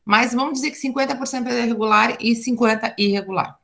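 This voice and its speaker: A woman's voice: on the high side, steady tone, fast, creaky, bright, forceful tone. A Brazilian woman teaching an English grammar class online, chatty and explaining with frequent asides and checks like "tá?". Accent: Brazilian Portuguese.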